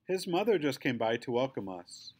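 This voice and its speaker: A man voicing a kind, reassuring father in a storybook, calm and encouraging. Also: mid-range voice, normal speed, husky.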